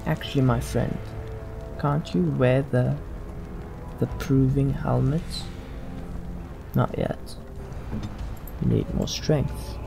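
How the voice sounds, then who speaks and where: A man doing in-character roleplay commentary for a let's play, speaking in a measured way as the leader of a fantasy party. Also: mid-range voice, fairly even intonation, vocal fry. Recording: game music behind the voice. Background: fantasy video game music.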